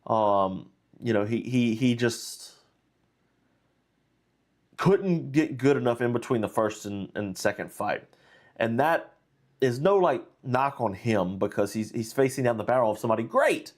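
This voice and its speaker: A man's voice: medium pitch, expressive, measured pace. A man hosting a live stream, talking casually and rambling through fight analysis. He is under the weather and sounds nasal and stuffy, with plenty of fillers and restarts. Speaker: host.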